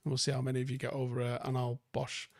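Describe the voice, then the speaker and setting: A man with a softened Manchester, northern English accent, chatting casually and bluntly as a livestream host answering viewer comments, with plenty of swearing. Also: medium pitch, completely flat delivery, fast talker, vocal fry, dry recording, studio quality.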